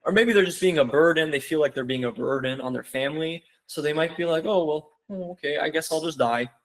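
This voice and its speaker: A man's voice: on the high side, a little fast. A young man giving a casual online seminar, rambling with lots of "like" and "you know" and calling his viewers "guys.". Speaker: webinar presenter.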